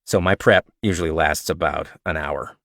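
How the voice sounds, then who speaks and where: Male narrator, slightly raspy and low-pitched, relaxed chatty delivery, dry close recording. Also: unhurried, no echo. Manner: relaxed, chatty, self-described nerd with frequent fillers. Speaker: audiobook narrator sharing tips. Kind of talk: casual Q&A livestream talk.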